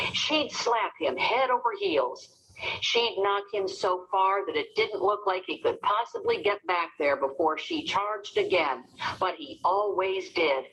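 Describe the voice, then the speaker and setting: A woman reads a children's adventure story aloud. Her voice sits a little low for a woman, and she tells it expressively, with a storyteller's sense of drama. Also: a bit bright, low-quality recording. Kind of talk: read-aloud storybook narration.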